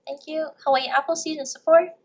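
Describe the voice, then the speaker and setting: A woman running a legislative hearing, calling testifiers in a brisk, businesslike way. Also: high voice, steady tone, a little mellow. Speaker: committee clerk or chair calling testifiers. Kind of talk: brisk procedural announcements.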